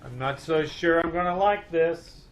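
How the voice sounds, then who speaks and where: An American man playing a wry, reluctant middle-aged gentleman detective in an old-time radio comedy, delivered deadpan. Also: a little high, a little slow, a little hiss or hum, lightly acted.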